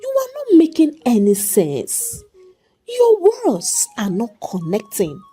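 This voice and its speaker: A woman as an incredulous, agitated husband, very animated. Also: fairly high pitch, slow, husky, soft background music, voice pushed up, heavily acted. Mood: angry disbelief. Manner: incredulous, challenging. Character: stern, disbelieving, agitated husband. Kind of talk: dramatic storytelling.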